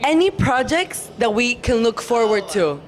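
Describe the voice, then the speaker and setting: A woman's voice: on the high side, very animated, unhurried, music in the background. A woman hosting a casual interview in an effusive, informal and teasing way. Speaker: interviewer, show host.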